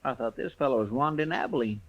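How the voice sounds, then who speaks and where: An actor playing a folksy, earnest and deferential American sidekick to a frontier marshal in a 1950s radio western. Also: male voice, mid-range voice, lively intonation, fast, muffled recording. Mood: folksy, chatty. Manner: folksy, deferential, rustic drawling phrasing. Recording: faintly noisy vintage radio recording.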